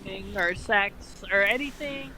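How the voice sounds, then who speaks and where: A woman co-hosting a dramatic fan fiction reading, mixing narration with casual, deadpan sarcastic remarks. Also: medium pitch, unhurried, a crowd in the background. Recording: background noise, uneven levels.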